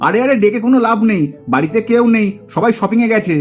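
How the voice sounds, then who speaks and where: A man's voice: high-pitched, animated, fast talker, soft background music. An actor, a man, voicing a teasing, grumbling, boastful young uncle in a puppet cartoon with sarcastic delivery. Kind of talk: exaggerated Bengali puppet cartoon acting.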